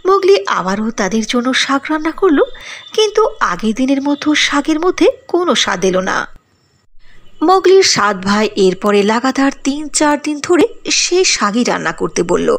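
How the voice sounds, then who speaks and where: A woman narrating a cartoon fairy tale with expressive storybook delivery. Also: sits high, normal speed.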